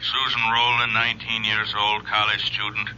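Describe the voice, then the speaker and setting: Wry weary police lieutenant, lowish, very sharp forceful voice, clipped jargon, vintage radio, faint noise. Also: male voice, little pitch movement, normal speed, rough recording. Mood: wry, businesslike. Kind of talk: 1970s radio drama acting.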